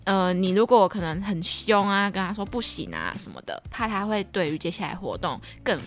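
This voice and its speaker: Lively young Taiwanese woman, expressive chat. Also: medium pitch, brisk. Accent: Taiwanese Mandarin. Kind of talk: casual podcast chat. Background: background music.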